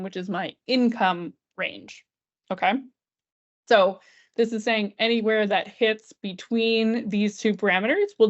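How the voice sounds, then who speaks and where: Woman giving a software tutorial, slightly muffled audio. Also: mid-range voice. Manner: informal, walks through steps aloud. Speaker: software trainer. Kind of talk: screen-share software tutorial, explanatory and conversational. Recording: dull, band-limited lo-fi audio.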